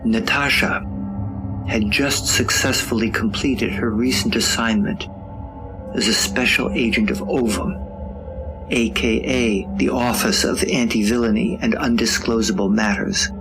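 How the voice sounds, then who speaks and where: A man narrates a dark audio drama in a low, gravelly voice. His delivery is wry and suspenseful, with music playing behind him. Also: fairly even intonation. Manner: wry, suspenseful, drawn-out phrasing.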